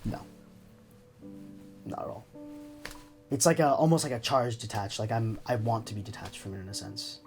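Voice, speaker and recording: A man's voice: fairly low pitch, lively intonation, normal speed, pauses often, soft background music. A young man speaking candidly and reflectively in an interview, often hesitating as he searches for his words. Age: young adult. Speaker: interview subject.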